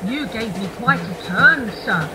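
Woman doing polite british train conductor, radio drama, high voice, bit of a noisy recording. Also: animated, a little slow. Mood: concerned, courteous. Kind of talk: radio play acting.

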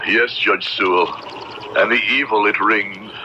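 An actor playing a fanatical, merciless Puritan judge in an old radio drama, declaiming in pompous, archaic preacher's speech. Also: male voice, fairly low pitch, fairly even intonation, a little slow, pushed and bright. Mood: stern, cold. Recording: noisy, dull old radio drama recording.

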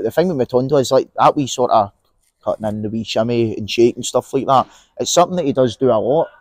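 A man's voice: medium pitch, darkish tone, dry recording. A young Scottish man giving casual, opinionated football talk outdoors in an empty stadium, on a lapel mic, with many fillers and restarts. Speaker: podcast co-host.

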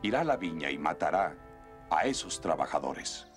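Deep-voiced, solemn religious teacher. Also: male voice, sing-song, moderate pace, music in the background. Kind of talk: dramatic cartoon dubbing.

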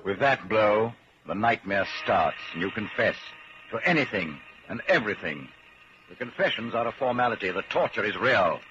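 An actor as a grave, deliberate narrator telling a grim story in the second person, in 1940s radio drama style. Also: male voice, lowish voice, lively intonation, natural voice. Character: grave, ominous male narrator addressing the hero. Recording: dull, hissy 1940s radio recording.

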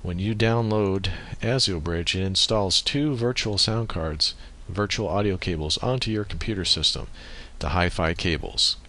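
Low-pitched man explaining software. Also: moderate pace, hardly any pauses. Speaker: software tutorial presenter. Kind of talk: step-by-step screencast tutorial narration. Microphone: USB microphone.

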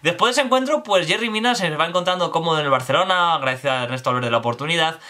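Fast-talking young man, bright voice. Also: on the high side. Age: young adult. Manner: fast, energetic and chatty, addressing viewers directly. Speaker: football news vlogger. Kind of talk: casual news commentary to camera. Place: bedroom with posters.

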